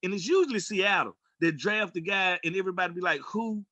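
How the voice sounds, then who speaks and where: A man's voice: high voice, fairly quick, bright, forceful tone, dry recording, highs cut off. A man in his forties co-hosting a sports podcast with casual, colloquial, joking banter and strong opinions. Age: 40s.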